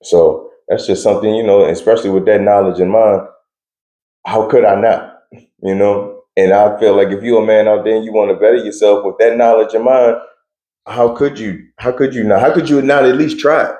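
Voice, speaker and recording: A man's voice: on the low side, steady tone, normal speed, mellow, roomy sound. A young man answering interview questions in a laid-back, blunt way with slang and frequent laughs. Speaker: interview guest.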